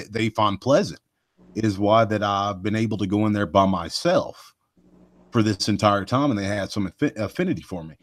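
A man with a deep voice that carries, hosting a live show and rambling folksily with many asides to his listeners. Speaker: host of a cryptid research live show. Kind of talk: casual live podcast chat.

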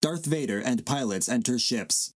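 Guy narrating short stage directions from a script, average pitch. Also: animated, normal speed.

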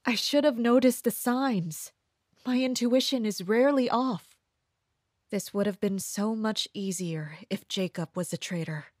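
A woman playing a guarded, distrustful commander of mercenaries in an audio drama, measured and introspective. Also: mid-range voice, no echo, clean studio sound, close to an everyday voice. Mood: agitated. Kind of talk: audio drama acting with inner monologue.

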